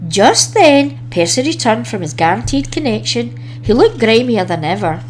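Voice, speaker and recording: A woman reading a children's story aloud as an expressive storyteller. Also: on the high side, normal speed, slight background noise.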